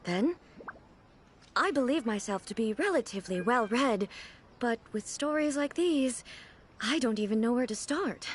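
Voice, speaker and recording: A woman's voice: on the high side, slight background noise. A woman playing a regal, literal-minded female ruler in a video game, formal, composed and earnestly puzzled. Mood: composed, hopeful. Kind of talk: video game dialogue acting.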